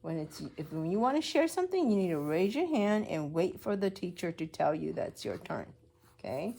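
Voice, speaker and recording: A woman's voice: lowish voice, very animated, normal speed, vocal fry, warm tone. A woman preschool teacher reading a story aloud to young children, explaining as she goes and asking simple questions.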